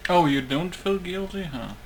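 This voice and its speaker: Casual gamer voice, offhand play-along commentary, conversational, clear background game noise. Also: medium pitch, expressive, moderate pace, darkish tone. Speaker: game streamer.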